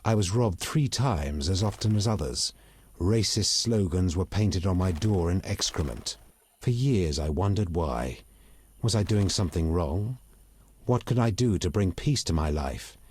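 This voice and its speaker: A man playing a proud, bitter elf rebel in a video game, articulate and measured, with open disdain. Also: sits low, animated, a little mellow, a little hiss or hum. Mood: bitter, reflective. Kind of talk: video game dialogue acting.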